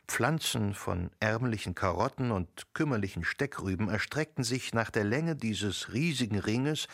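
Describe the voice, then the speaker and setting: Low, gravelly male literary narrator. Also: a bit bright, no room sound, studio quality.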